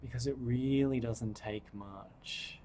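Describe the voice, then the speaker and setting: A man guiding a breathing meditation, speaking softly and soothingly with long pauses. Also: mid-range voice, fairly even intonation, a little hiss or hum. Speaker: meditation guide.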